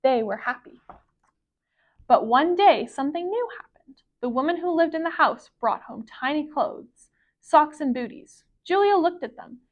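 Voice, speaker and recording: A woman with a high voice reads a picture book to children. She is a library storyteller, and her phrasing is careful and expressive. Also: unhurried, highs cut off.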